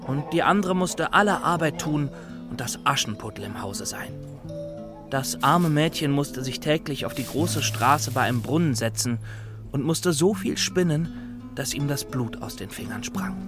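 A man's voice: a little high, animated, moderate pace, over music, close to an everyday voice. A man playing an earnest, easily flustered young scholar and storyteller in a children's radio play. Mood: storytelling. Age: young adult. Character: anxious, bookish young male scholar and storyteller. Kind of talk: children's radio drama acting.

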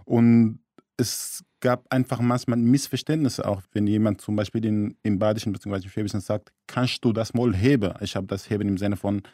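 A man's voice: lowish voice, fairly even intonation, fairly quick, warm tone, dry recording, studio quality. A man from Syria, a radio guest, explaining things carefully with small slips in his German. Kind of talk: radio interview.

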